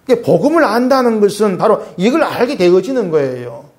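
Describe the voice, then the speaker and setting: A middle-aged male pastor preaching conversationally, asking his congregation questions and dropping low and soft when he reads scripture. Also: sing-song, fairly quick. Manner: swings between raised emphatic lines and quiet low asides; reads scripture aloud in a lower, softer voice. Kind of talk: sermon.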